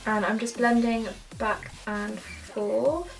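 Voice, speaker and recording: A woman's voice: on the high side, fairly even intonation, takes it slowly, gravelly, distant mic, loud music behind the voice, low-quality recording. A young woman chatting casually and enthusiastically through a makeup tutorial, full of "like" and "so". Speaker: beauty vlogger.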